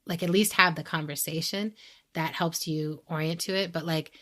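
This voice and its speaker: A woman with a slightly low voice and an American accent. She chats casually and reflectively in a podcast setting, sharing advice and slipping into imagined quotes to illustrate her points. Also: steady tone, normal speed, sharp tone. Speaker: podcast co-host giving advice.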